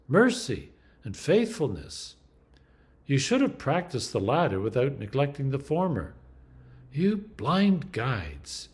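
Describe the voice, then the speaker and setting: A man, an Anglican priest, reading scripture aloud in a slow, deliberate and emphatic way. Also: fairly high pitch, darkish tone.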